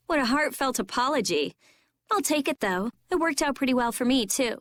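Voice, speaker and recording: A woman's voice: high voice, animated, moderate pace. A woman voicing a blunt, prickly teenage girl mage in a video game, curt, sardonic and bossy. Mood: dry, sardonic. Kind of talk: English video game dub acting.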